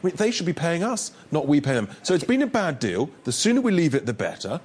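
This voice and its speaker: A man's voice: on the high side, big pitch swings, fast talker, darkish tone, husky. A man speaking as a political guest in an interview clip, insistent and emphatic.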